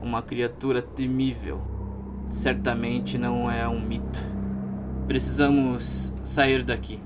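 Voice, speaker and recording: A Brazilian man talks as a player in a fantasy role-playing session. His delivery is flat and measured, at a medium pitch, and loud music plays behind him. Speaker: tabletop RPG player. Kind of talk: in-game talk, partly in character.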